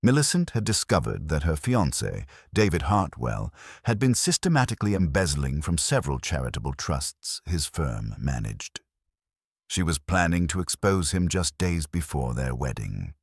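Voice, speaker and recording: A businesslike police sergeant, read by a male narrator in a detective story. He explains matter-of-factly in an extremely deep voice, recorded dry. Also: sing-song, moderate pace, creaky, breathy edge, dry recording, exaggerated voice. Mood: grave reporting.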